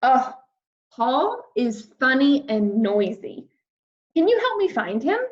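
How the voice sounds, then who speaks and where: A woman plays a little storybook puffin boy, high-pitched and plaintive. He sounds earnest and worried, in a roomy, slightly dull recording. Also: big pitch swings, slow pace, exaggerated voice. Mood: lost, worried. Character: small lost puffin, earnest, worried young male. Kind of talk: children's storybook character voice.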